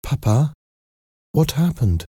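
A man's voice: medium pitch, sing-song, takes it slowly, warm tone, slightly rough, no room sound, clean studio sound, voice pushed up, heavily acted. A man voicing an emotional young woman, a lovestruck and devoted daughter, in an audiobook story. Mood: puzzled, surprised. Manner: earnest, emotional pleading.

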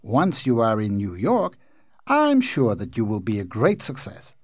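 A man's voice: on the low side, wildly swooping pitch, a little slow, no echo, studio quality, full character voice. A man voicing a prim, indignant young American girl who scolds sharply.

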